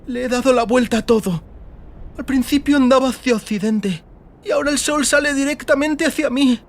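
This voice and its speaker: A woman's voice: sits high, brisk, pitched above the performer's own voice. A woman voicing an exhausted, desperate young girl in an audiobook, with a strained, urgent delivery. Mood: weary, determined. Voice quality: hoarse.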